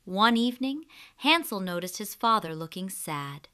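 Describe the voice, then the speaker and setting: A woman narrating a scripted fairy tale for children in an expressive, deliberate storytelling manner. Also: mid-range voice, clear, resonant tone.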